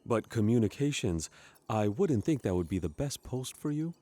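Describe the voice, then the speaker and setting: An actor playing a formal, commanding, self-important starship captain in a sci-fi comedy audio drama. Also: male voice, mid-range voice, sing-song, normal speed, mellow. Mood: brisk command.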